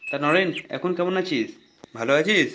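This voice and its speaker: A man playing a high-pitched, nosy cartoon gang elder. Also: lively intonation, moderate pace, pushed and bright, slight background noise, low-quality recording. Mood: curious, teasing. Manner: slangy, inquisitive, exclamatory. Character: nosy, streetwise male leader of idle neighbourhood lads. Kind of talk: comic cartoon dialogue.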